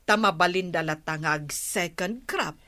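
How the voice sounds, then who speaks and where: A woman's voice: on the low side, a little slow, pushed and bright. A woman with an Ilocano Filipino accent acting a chatty, sympathetic village woman in a radio comedy-drama. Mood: chatty, musing.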